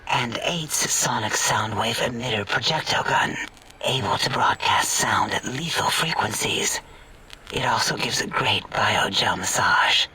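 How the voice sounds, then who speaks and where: A haughty machine scientist, full of scorn for human flesh. The tone is very bright and cutting at a middle pitch, proclaiming loftily from a little distance with faint noise. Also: male voice, lively intonation, measured pace. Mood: boastful. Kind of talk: video game character acting.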